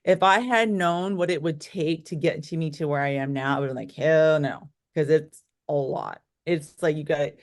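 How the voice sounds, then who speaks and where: A woman's voice: deep voice, moderate pace. A woman in her late forties with an American accent, telling her own story candidly and talkatively as an interview guest. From United States, born in Korea. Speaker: interview guest, real estate broker. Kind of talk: conversational interview answers, personal storytelling.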